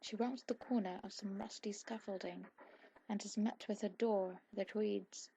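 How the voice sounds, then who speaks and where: A woman with a husky voice reading a scripted story aloud in an ASMR style. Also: mid-range voice, fairly even intonation, measured pace, mellow, slight background noise, poor audio. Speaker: fan fiction audiobook narrator.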